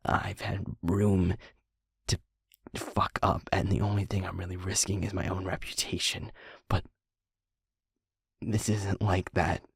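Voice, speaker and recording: A man playing a guilt-ridden young werewolf boyfriend in an intimate audio roleplay, speaking haltingly and rawly in a husky, creaky, breathy voice. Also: sits low, little pitch movement, dry recording, studio quality, heavily acted. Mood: guilty, self-doubting. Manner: halting, emotionally raw, broken-up phrases.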